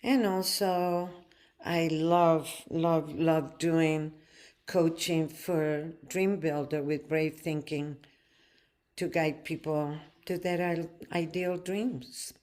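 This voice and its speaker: Podcast co-host, woman, low-pitched, unhurried, reflective. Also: steady tone, a little mellow. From Chile. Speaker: podcast co-host, life coach. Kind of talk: casual podcast chat.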